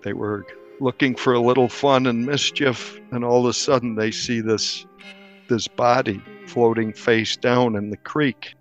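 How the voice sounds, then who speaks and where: A man's voice: mid-range voice, fairly even intonation, unhurried, dull audio, faint music behind. A middle-aged or older man, a reporter telling stories conversationally in an interview. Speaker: newspaper reporter.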